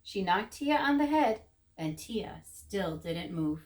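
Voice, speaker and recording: A woman's voice: mid-range voice, big pitch swings, takes it slowly. A woman narrating a children's story expressively, with rhythmic, dramatic emphasis.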